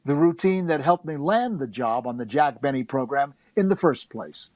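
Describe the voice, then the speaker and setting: A man's voice: mid-range voice, animated, normal speed, darkish tone, no echo. A man reading scripted first-person narration as a storyteller.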